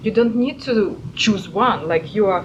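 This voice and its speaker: A woman's voice: medium pitch, expressive, brisk. A woman hosting a podcast in a casual discussion, searching for words as she talks, with many fillers and restarts. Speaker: podcast host. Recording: muffled recording with clear background noise.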